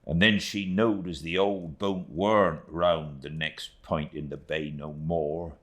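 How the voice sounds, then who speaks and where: An old fisherman, voiced by a man reading an audiobook, grieving and devoted. He speaks low and very slowly, in halting, heartfelt that moves between hushed and swelling. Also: fairly even intonation, full character voice. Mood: tender, hushed. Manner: slow, emotional, halting storytelling with swelling and hushed moments. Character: grieving, devoted, rustic old English fisherman recounting his niece's ordeal.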